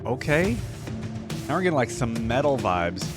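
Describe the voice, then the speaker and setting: A man's voice: medium pitch, very animated, normal speed. A man giving casual, musing reaction commentary as the host of a reaction video. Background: music from the watched video.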